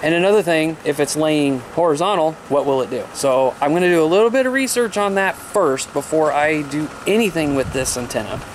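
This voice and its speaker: A man's voice: on the high side, animated, brisk, few pauses. An American man in his thirties chatting casually to camera as a vlog host, laid-back and informal. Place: outdoors by a mobile home, later a small room indoors. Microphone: camera at arm's length. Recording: clear outdoor noise, handheld camera.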